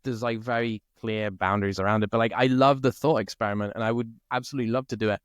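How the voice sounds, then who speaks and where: A man from Italy co-hosting a podcast, chatting casually and thinking out loud, often checking in with "right?". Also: on the low side, fairly even intonation, quick pace, clear, resonant tone, no room sound, clean studio sound. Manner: thinks aloud, peppers speech with "like" and "right?". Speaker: podcast co-host. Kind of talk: casual conversation.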